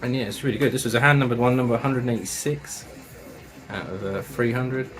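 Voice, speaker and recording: A man's voice: medium pitch, fairly even intonation, a little fast, faint music behind. A man casually reviewing metal records and tapes, enthusiastic and chatty, with slang and swearing.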